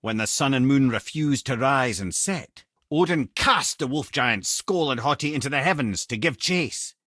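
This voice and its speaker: A man's voice: mid-range voice, normal speed, no room sound, studio quality. An actor playing a wise, talkative older male sage in a video game, with a Scottish accent and a wry, storytelling manner. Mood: grave storytelling. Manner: expressive, wry, storytelling with colloquial lilt.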